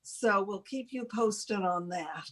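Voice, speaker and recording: An older woman co-hosting a casual, unscripted show, speaking haltingly with pauses mid-sentence. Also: mid-range voice, fairly bright tone, husky. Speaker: show co-host.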